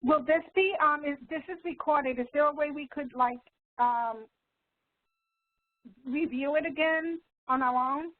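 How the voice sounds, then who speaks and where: Woman, student, high voice, tentative, polite, casual, phone-like online call audio. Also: unhurried. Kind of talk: brief casual questions.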